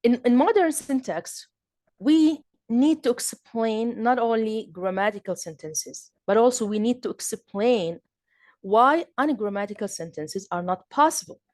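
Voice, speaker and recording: A woman's voice: on the high side, lively intonation, measured pace, darkish tone, dry recording. A woman from southern Jordan with a Jordanian Arabic accent, giving an academic lecture in a hesitant way, with many fillers and restarts. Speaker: linguistics lecturer.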